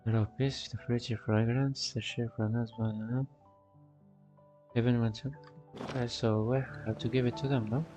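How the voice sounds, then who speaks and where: A man's voice: mid-range voice, flat delivery, moderate pace, warm tone, low-quality recording. A man giving casual gameplay commentary, reading bits aloud and muttering puzzled asides to himself, often repeating phrases. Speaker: game streamer. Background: game music.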